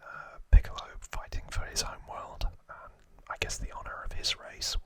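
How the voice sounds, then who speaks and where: A man hosting an ASMR channel, whispering an informal trivia recap with hesitant, musing asides. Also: normal speed.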